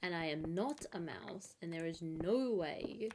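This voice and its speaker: A woman's voice: on the low side, lively intonation, unhurried. A woman live streaming from home, chatting casually and rambling with many fillers. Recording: noisy home stream audio.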